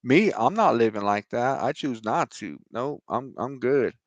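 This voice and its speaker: A man's voice: medium pitch, expressive, unhurried, no echo, lo-fi sound. A man hosting his own online show, talking informally and emphatically about scripture and his own grievances, with many "right?" and "you know" asides. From United States. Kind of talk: informal religious talk to camera.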